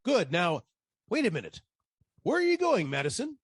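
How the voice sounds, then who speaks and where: A man's voice: sits high, huge pitch swings, moderate pace, husky, dry recording, studio quality, heavily acted. A man playing a brash ad agency boss in a comic radio play, with theatrical salesman's patter. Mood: bossy, peeved.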